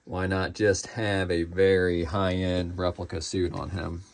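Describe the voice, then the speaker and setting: A man with a fairly low voice and a strong vocal fry talks through a collectible in a casual review style. His delivery is informal and a little hesitant, trailing off and returning to points as he goes. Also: level tone, a little slow.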